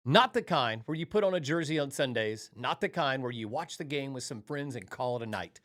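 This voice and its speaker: A man's voice: medium pitch, lively intonation, fairly quick, a bit bright. A middle-aged American man from Tennessee hosting a sports podcast, speaking with emphatic showmanship in upbeat intros and interview chat. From Tennessee, United States.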